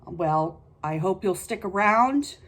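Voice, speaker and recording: A woman's voice: medium pitch, animated, takes it slowly, very noisy. A woman hosting a casual explanatory video, thinking aloud in a conversational, lightly self-mocking way as she does a tarot reading. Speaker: tarot reader and video host. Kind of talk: casual explanatory chat to camera.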